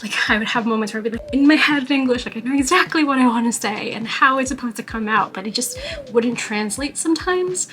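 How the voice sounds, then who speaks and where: A woman's voice: on the high side, fairly bright tone, rough recording. Chatty young New woman. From New York. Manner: chatty, with frequent "like" and "you know" fillers. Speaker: vlogger. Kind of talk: casual talking-to-camera vlog.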